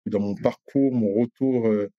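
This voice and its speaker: A man in his thirties from Rwanda, talking as an interview guest, hesitant with many pauses and restarts. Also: on the low side, steady tone, no echo, lo-fi sound. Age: 30s. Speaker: guest, company director. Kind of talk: casual interview chat.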